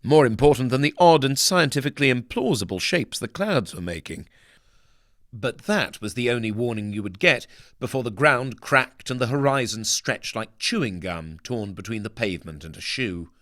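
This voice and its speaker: A man's voice: medium pitch, lively intonation, normal speed, fairly bright tone, no room sound, studio quality. A man reading science fiction narration aloud in a dramatic, wry, theatrical storytelling manner. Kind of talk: dramatic scripted audiobook narration.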